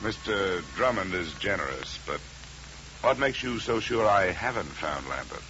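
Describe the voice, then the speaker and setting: An actor playing a tough, wry insurance investigator in a 1950s radio detective drama, sardonic and clipped. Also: male voice, deep voice, sing-song, moderate pace, pushed and bright, a little hiss or hum, exaggerated voice. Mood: wry, needling. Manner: sardonic, clipped, world-weary. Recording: muffled old radio recording, music behind.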